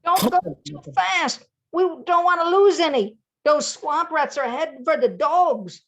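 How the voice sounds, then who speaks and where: A woman's voice: high voice, animated, unhurried, a bit bright, full character voice. An older woman playing a plainspoken, squeamish but practical bayou hunter in a radio play. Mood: cautious.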